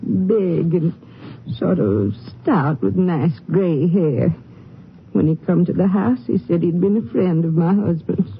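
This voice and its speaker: An actress playing a frail, ashamed old widow in a slow, halting, plaintive 1950s radio drama style. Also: female voice, on the low side, expressive. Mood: regretful. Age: older. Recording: muffled, noisy old radio recording.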